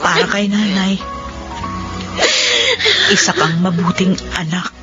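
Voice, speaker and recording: An actress playing a calm older sister who steadily consoles her grieving sibling in a radio drama. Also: fairly low pitch, slow. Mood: consoling. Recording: dull lo-fi radio with music behind.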